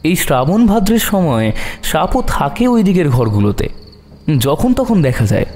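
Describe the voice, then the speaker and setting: A man narrating a horror story dramatically, with suspense and long pauses. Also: a little high, big pitch swings, a little mellow, slight background noise. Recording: long hall reverb over music.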